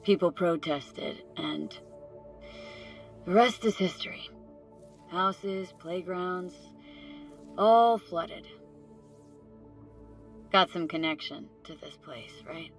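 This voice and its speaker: An actress playing a cool young mercenary in a video game, curious and terse, with a low-key delivery. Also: female voice, slow pace, pauses often. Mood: subdued, attentive. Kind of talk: naturalistic video game acting. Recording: faint music behind the voice.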